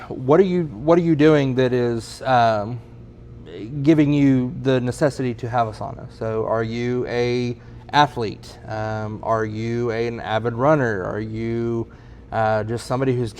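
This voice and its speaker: A man co-hosting a casual chat in a showroom, giving relaxed sales advice and filling his sentences with lots of "um" and "you know.". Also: medium pitch, normal speed, slight background noise. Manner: relaxed, many ums and you-knows. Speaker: sauna store staff co-host.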